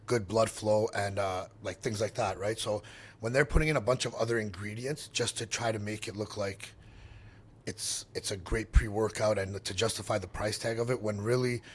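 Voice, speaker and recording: A man in his forties, born in Syria, answering interview questions in a relaxed, plain-spoken way while getting over a cold. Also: fairly low pitch. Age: 40s. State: recovering from a cold. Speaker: guest, company founder.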